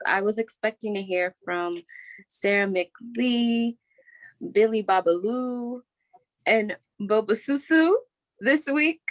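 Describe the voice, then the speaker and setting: A woman playing a composed, polite saleswoman giving a measured report in a comedy web series. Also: mid-range voice, dry recording, natural voice, rough recording.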